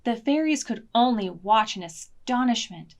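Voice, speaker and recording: A woman reading a picture book aloud to preschoolers in an expressive, deliberate way, as a storytime librarian. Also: on the high side. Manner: slow, deliberate, expressive, pausing to ask questions.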